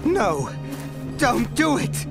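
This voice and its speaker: Snooty evil scientist from a video game, super swoopy theatrical voice, slow, music behind. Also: male voice, very high-pitched, huge pitch swings, full character voice. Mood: desperate pleading. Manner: theatrical, drawn-out, contemptuous delivery. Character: haughty cold villain scientist, pleading when cornered.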